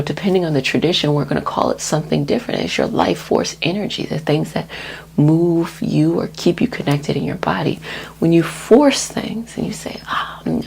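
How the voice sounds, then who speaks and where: A woman with a soft, breathy voice that often drops to a whisper gives a soothing, gently reassuring ASMR energy healing talk. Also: low voice, slight background noise, poor audio. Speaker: energy healer and host of healing sessions.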